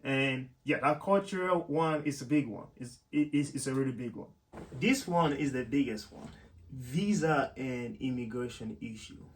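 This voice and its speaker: A man's voice: mid-range voice, expressive, moderate pace, slight background noise. Man, casual vlogger. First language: French. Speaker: YouTube host and software developer. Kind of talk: casual explanatory vlog talk to viewers.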